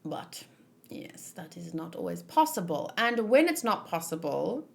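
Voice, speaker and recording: A woman's voice: on the low side, very animated, a little slow, vocal fry. A woman giving casual, informal commentary as a read-along host, thinking aloud and searching for her words as she goes.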